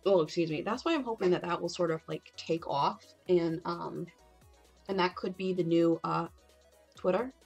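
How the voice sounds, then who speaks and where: A woman with a husky, gravelly voice chatting casually on a livestream, rambling and reading out messages. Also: fairly low pitch, normal speed, darkish tone, faint music behind. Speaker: co-host of a morning livestream.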